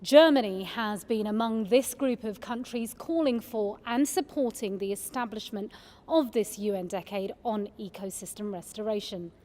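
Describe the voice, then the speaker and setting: A woman presenting a formal event from a script, introducing in measured official language. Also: mid-range voice, darkish tone. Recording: long reverberant hall echo.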